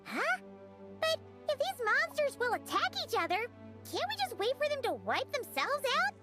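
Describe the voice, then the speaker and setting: A woman voicing a small, excitable fairy companion in a video game, with a squeaky childlike voice. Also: normal speed. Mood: curious, startled. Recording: game music behind the voice.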